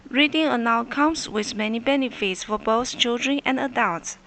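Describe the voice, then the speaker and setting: A woman's voice: fairly high pitch, moderate pace, fairly bright tone. A woman reading a scripted informational narration. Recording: noticeable background noise.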